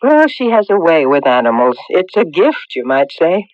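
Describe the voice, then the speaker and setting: An actress playing a kindly, proper middle-aged farm mother in a radio drama, expressive, fussy and hesitant. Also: deep voice, moderate pace, dry recording. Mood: pleasant, chatty. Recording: dull, dry old radio recording.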